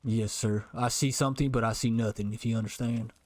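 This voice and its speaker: A man's voice: mid-range voice, fairly even intonation, brisk, natural voice. A man roleplaying a polite, eager Old West hired outlaw, deferential and folksy, often repeating himself. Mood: approving. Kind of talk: improvised online Western roleplay.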